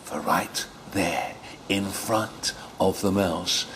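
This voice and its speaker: A man teaching how to read stories aloud to children, speaking deliberately and expressively, with coaxing questions. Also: sits low, steady tone, fairly bright tone, a little hiss or hum. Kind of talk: instructional talk with dramatic children's story reading.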